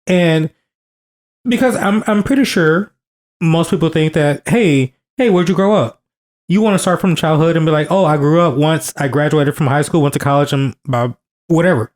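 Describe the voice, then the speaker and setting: Earnest man in his thirties, fairly high voice. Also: moderate pace. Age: 30s. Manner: earnest, repeats himself for emphasis. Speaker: podcast co-host. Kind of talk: casual podcast conversation.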